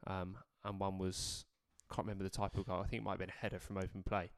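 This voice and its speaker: A man's voice: low-pitched, level tone, quick pace, no room sound, studio quality. A man co-hosting a casual football podcast, talking in a chatty, rambling way. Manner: chatty, rambling, lots of fillers and restarts. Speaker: podcast co-host.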